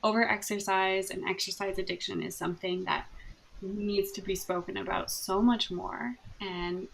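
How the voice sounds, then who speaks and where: Soft-voiced canadian therapist lady, kinda gravelly, laughs a lot, podcast guest. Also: medium pitch, steady tone, moderate pace, poor audio. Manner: soft-spoken, thoughtful, laughs often. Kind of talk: podcast interview conversation.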